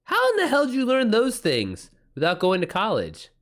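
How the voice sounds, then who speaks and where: A man's voice: sits high, wildly swooping pitch, normal speed, fairly bright tone. A man hosting a livestream in a casual, informal style, reacting to chat comments with exclamations and swearing. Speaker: streamer, author hosting a live chat.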